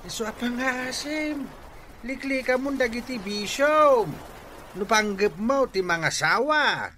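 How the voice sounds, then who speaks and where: A man's voice: extremely high pitch, expressive, slow pace, pushed and bright, slight background noise, exaggerated voice. An actor playing a stern older Filipino father with an Ilocano accent, preachy and emphatic in a radio drama. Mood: stern, pleading. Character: stern older father admonishing his wayward son.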